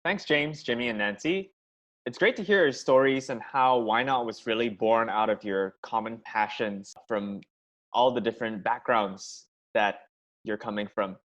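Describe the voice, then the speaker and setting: A man's voice: mid-range voice, normal speed, fairly bright tone, muffled recording. A man moderating a panel, politely thanking guests and moving to the next question. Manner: polite, smooth transitions.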